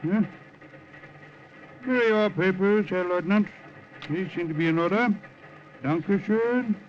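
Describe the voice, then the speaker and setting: A man's voice: high-pitched, unhurried. An officious yet polite train inspector. He speaks in 1950s radio drama manner on a dull vintage recording.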